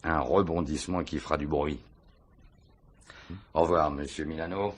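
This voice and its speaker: A man's voice: rumbling low, steady tone, normal speed, husky. An actor playing a wily, insistent police commissioner in a French radio mystery, repeating his words with probing, mock polite courtesy. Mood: probing, courteous. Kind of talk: French radio crime drama acting.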